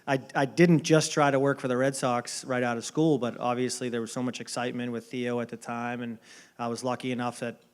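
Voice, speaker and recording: A man with a Boston accent answers questions at a casual pace, his voice smooth and resonant. He fills his answers with ums and restarts, sounding easygoing and unhurried. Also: mid-range voice. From Boston area. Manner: relaxed, many ums and restarts. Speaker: baseball front office executive. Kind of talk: casual interview at a dinner event.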